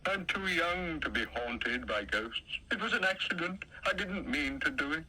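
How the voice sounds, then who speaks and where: A fussy, easily flustered lion grumbles and worries, as a man puts him on for a storybook. The voice sits a bit high and swoops widely. It has a bright, forward tone. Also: animated, moderate pace, husky, full character voice, low-quality recording. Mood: distressed. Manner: fretful, grumbling. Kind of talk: storybook reading.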